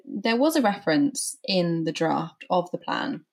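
A woman's voice: lowish voice, sing-song, a little slow. Woman co-hosting a news podcast. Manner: lightly teasing, laughs easily. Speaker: podcast co-host.

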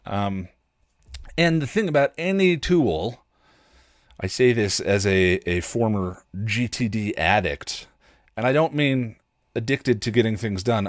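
A man's voice: fairly low pitch, animated, a little slow, no echo, clean studio sound. A man hosting a casual solo podcast in a rambling, self-deprecating way, often acting out his own inner dialogue. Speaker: podcast host.

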